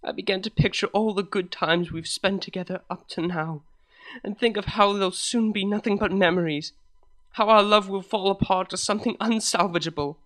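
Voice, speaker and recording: Expressive narrator for first-person fan fiction, medium pitch, emotive dramatic read. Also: normal speed.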